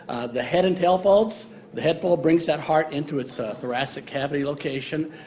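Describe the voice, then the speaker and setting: A man's voice: mid-range voice, a little mellow. A man giving a university embryology lecture in a casual, joking way, with many fillers. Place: lecture hall.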